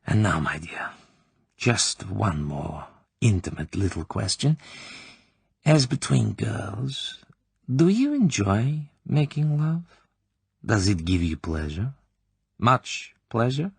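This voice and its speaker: A man voicing a cruel middle-aged female Soviet colonel who interrogates with an oily, smooth, falsely motherly manner, dropping at times into soft whispers. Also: fairly low pitch, very animated, slow, long pauses, no echo, voice pushed up, full character voice. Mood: oily, coaxing.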